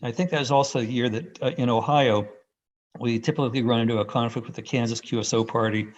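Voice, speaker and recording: A man giving an unscripted, rambling first-person account in halting bursts, as a radio hobbyist on a video call. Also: medium pitch, fairly even intonation. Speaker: amateur radio contest operator. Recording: dull, band-limited video call audio.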